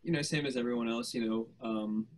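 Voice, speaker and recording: A man with a slightly husky voice, talking casually and informally as a guest on a live stream. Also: medium pitch, brisk, darkish tone. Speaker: podcast musician. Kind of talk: casual chat.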